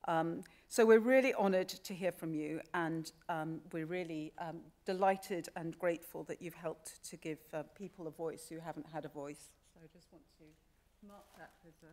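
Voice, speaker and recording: A woman giving a formal, polite closing speech of thanks in a halting way. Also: deep voice, darkish tone. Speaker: chief executive of a society. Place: radio theatre stage.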